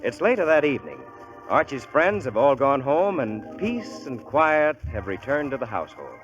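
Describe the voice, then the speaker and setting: A man's voice: mid-range voice, big pitch swings, normal speed, music in the background. An American man announcing on 1940s radio, reading scripted copy in an emphatic, upbeat way, on an old muffled broadcast.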